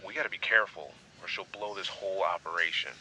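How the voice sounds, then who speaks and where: A wary crooked cop on a secret, slightly noisy tape in a crime game. His deep voice has a very hard, forceful edge. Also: quick pace. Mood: worried. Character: wary crooked male police officer. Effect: covert audio recording played back. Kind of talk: naturalistic video game acting. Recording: noisy secret audio recording.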